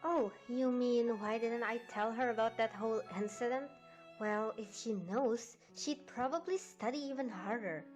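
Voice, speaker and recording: A woman's voice: on the high side, moderate pace, darkish tone, soft background music, lightly acted. A woman voicing a friendly, loyal mare pony who speaks politely and casually.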